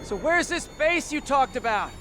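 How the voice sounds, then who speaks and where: An actor playing an earnest, wisecracking young superhero in a video game, with an American accent and a chatty, wry manner. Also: male voice, extremely high pitch, big pitch swings, moderate pace, heavy background noise. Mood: upbeat, joking. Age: young adult.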